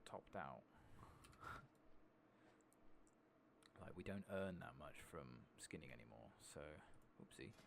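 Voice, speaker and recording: A man giving soft-spoken ASMR commentary on a game playthrough, drifting between murmurs and whispers, with a gravelly low voice. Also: expressive, slow pace, long pauses, noisy background. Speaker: gaming streamer.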